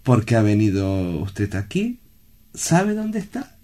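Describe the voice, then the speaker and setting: A man acting a calm, authoritative doctor in a radio drama, formal and reassuring in manner. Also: fairly low pitch, takes it slowly. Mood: soothing, firm. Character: calm, authoritative male doctor directing a strange institution.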